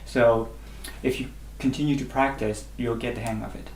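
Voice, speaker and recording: A man's voice: medium pitch, steady tone, normal speed, roomy sound, a little hiss or hum. A man whose first language is Burmese, teaching a language lesson and patiently repeating each sound three times. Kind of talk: slow instructional lesson.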